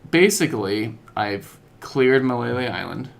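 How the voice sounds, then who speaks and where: A man's voice: mid-range voice, expressive, unhurried, creaky. A man chatting casually as a podcast co-host about video games, telling stories in slangy, informal language.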